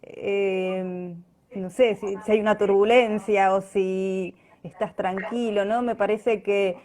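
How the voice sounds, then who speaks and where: A woman's voice: medium pitch, steady tone, slow pace, darkish tone. An Argentine woman, an actress being interviewed, talking casually and tentatively, often checking for agreement with little tag questions. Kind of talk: casual live conversation.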